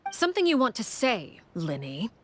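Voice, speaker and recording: A woman voicing a stern, commanding head of a covert household in a video game, cold, measured and authoritative. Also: fairly high pitch, wildly swooping pitch, slight background noise. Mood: cold, judging.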